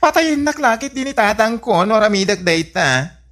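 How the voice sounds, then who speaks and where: A man's voice: high voice, animated, normal speed, fairly bright tone. A man playing a reluctant, secretly effeminate young son cowed by his father in an Ilocano radio comedy drama, with an Ilocano accent. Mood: confiding.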